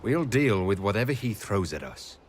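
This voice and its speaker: An actor playing a confident hero who is game for a fight, in a video game cutscene. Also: male voice, lowish voice, big pitch swings, moderate pace, slight background noise.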